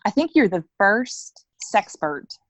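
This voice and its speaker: A woman's voice: medium pitch, very animated, slow pace, dry recording. A woman in her thirties speaking frankly as an interview guest, acting out little bits of dialogue as she talks. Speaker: coaching client, interview guest. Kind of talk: candid conversational interview.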